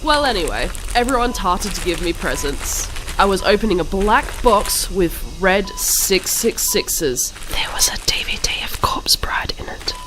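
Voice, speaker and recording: Woman as a animated goth teen narrator. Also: mid-range voice, a little slow, few pauses, whispered, music in the background, close to an everyday voice, rough recording. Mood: dramatic narrating. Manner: melodramatic, reads typos and numbers literally. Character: self-dramatising teenage goth girl narrating her story. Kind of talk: scripted parody narration.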